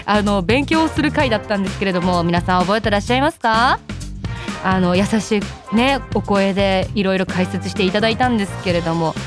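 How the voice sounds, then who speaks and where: A woman's voice: medium pitch, fairly quick, music in the background. A woman hosting a radio show, talking directly to her listeners.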